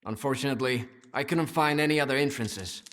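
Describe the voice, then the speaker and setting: An actor playing a wry, chatty stalker scout in a video game, breezy and joking in manner. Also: male voice, medium pitch, brisk, a bit bright, lightly acted. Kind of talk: video game dramatic acting.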